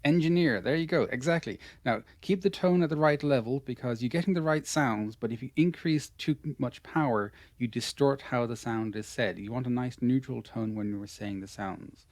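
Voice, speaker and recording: An Irish man in an online lesson, coaching a student through pronunciation. His voice sits at a middling pitch, and he stays patient and encouraging, handing out praise again and again. The sound is dry and close, with no room around it. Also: moderate pace, few pauses, darkish tone, no room sound. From Ireland. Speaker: English pronunciation teacher.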